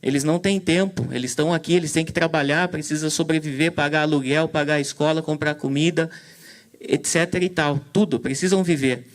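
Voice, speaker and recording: Male moderator, hesitant delivery, fillers and restarts, slightly higher pitch. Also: fairly even intonation, a little fast. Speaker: event moderator. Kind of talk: commentary on a talk.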